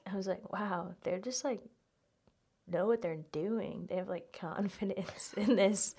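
A woman's voice: mid-range voice, unhurried, warm tone, slightly rough. A young American woman chatting casually and telling personal anecdotes, with lots of "like" in her informal speech. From United States (South Carolina and Virginia). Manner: informal, heavy use of "like". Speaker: guest, comedian.

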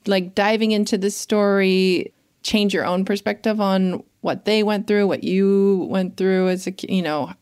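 A woman's voice: medium pitch, clean tone, no room sound, studio quality. A woman interviewer asking a long, careful question and listening attentively. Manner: attentive, frequent soft backchannels.

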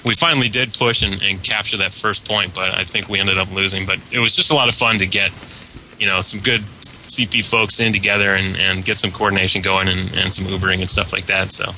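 Low, very bright-voiced man chatting. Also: flat delivery, fairly quick, slight background noise, low-quality recording. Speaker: podcast panelist. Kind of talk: casual chat about online gaming.

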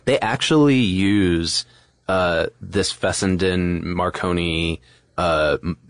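A man's voice: low voice, takes it slowly, no echo, studio quality. A man co-hosting a podcast, explaining and commenting in casual conversation. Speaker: podcast co-host.

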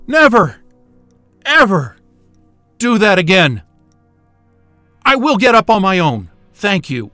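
An actor playing an irritable, sarcastic, sweary astrophysicist in a science fiction audio drama. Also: male voice, high voice, very animated, takes it slowly, long pauses, pushed and bright, no room sound, soft background music, full character voice. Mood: startled, furious. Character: Wayne, irritable sarcastic astrophysicist, adult man. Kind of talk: naturalistic audio drama acting.

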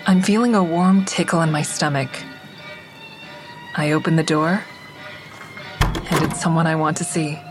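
A confessional woman in an audio drama, delivering an intimate first-person monologue. Her voice is low, and music plays clearly behind her. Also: steady tone, unhurried, long pauses. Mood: hopeful.

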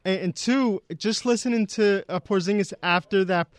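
Halting sports radio regular man. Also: sits high, no room sound. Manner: halting, restarts his points. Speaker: show regular, sports talk.

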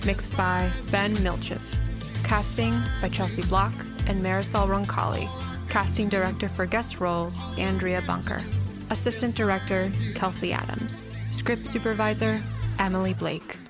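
A woman's voice: medium pitch, fairly even intonation, moderate pace. A woman announcer giving a scripted read of show credits. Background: loud music.

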